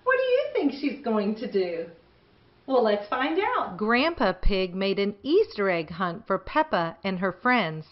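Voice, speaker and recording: A middle-aged woman hosting for young children, reading stories in an animated way and asking the listener questions. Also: mid-range voice, measured pace, reverberant, far from the mic, highs cut off. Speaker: children's show host and storyteller. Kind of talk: children's storybook reading and chat to young viewers.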